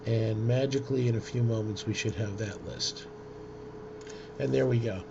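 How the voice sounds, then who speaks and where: Male tutorial presenter, informal and hesitant with a creaky voice; dull recording with heavy noise. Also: mid-range voice, fairly even intonation. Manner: hesitant, halting delivery with frequent ums. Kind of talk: informal screen-share software demonstration. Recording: dull lo-fi audio with loud noise.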